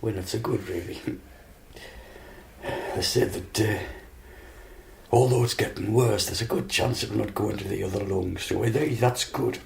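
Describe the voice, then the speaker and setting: An actor playing an ailing, embittered father, gruff and weary, with a gravelly, hesitant delivery in a radio drama. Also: fairly low pitch, normal speed, long pauses. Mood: weary, regretful. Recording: echoey, faintly hissy radio drama recording.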